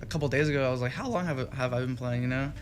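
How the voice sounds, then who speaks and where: A man's voice: medium pitch, rapid-fire. Rambling young Texan man. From Texas. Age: young adult. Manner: rambling, many fillers and restarts. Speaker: guest musician. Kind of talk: casual interview chat. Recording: radio studio with faint music behind.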